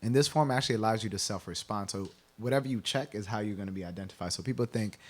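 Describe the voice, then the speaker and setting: Male interview guest from Kenya, low voice, explanatory and conversational, often tags points with "right?". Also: fast.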